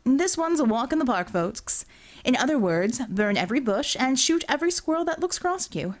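A woman's voice: high voice, big pitch swings, a little fast, pitched above the performer's own voice. A scheming villain boss addresses his crew with formal, wry authority, acted out by a woman narrator. He is self-assured and commanding, a storybook mastermind of crooks. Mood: wry, confident.

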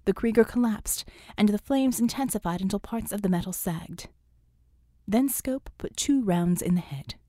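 Female audiobook narrator for action fiction: warm, relaxed timbre, scripted storytelling, dry close recording. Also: mid-range voice, normal speed, no echo.

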